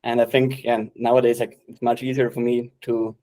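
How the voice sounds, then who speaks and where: A man in his late twenties from Austria, answering interview questions in a relaxed, chatty way full of "uh" and "yeah". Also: medium pitch, normal speed, low-quality recording. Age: late 20s. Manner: relaxed, many fillers like "uh" and "yeah". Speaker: private investor guest.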